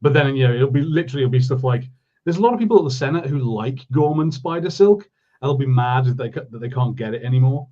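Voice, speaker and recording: Male podcast co-host, mid-pitched, conversational and rambling, recorded a little dull. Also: lively intonation, quick pace, warm tone. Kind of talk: casual review chat.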